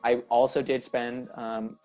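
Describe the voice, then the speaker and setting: A man's voice: medium pitch, little pitch movement, measured pace, warm tone, faint music behind, poor audio. A man in his twenties from Northern California, a drum major, answering interview questions over a video call, hesitant and earnest, with many fillers. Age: 20s.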